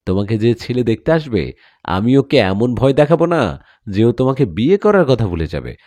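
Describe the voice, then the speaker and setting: Devoted jinn lover for audio story, done by a male narrator: possessive, assured, mellow, expressive pitch. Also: moderate pace, dry recording, close to an everyday voice. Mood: defiant. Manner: assured, insistent.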